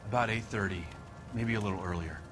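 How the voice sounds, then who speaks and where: An American actor playing a defensive, resentful husband under police suspicion in a video game, speaking plainly. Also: male voice, on the low side, a little fast, over music, natural voice. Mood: guarded, admitting. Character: defensive, resentful husband suspected of killing his wife. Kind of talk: video game dramatic acting.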